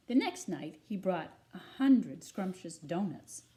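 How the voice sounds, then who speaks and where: Lady reading a kids storybook out loud, mellow soft-edged tone, expressive. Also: fairly low pitch, slow. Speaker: storybook reader. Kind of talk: read-aloud children's storytelling.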